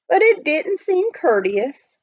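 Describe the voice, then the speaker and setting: A woman's voice: very high voice, big pitch swings, slow pace, lo-fi sound, pitched above the performer's own voice, exaggerated voice. A woman voicing a bold, folksy male gold miner in a storybook, with frontier turns of speech. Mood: blunt, friendly.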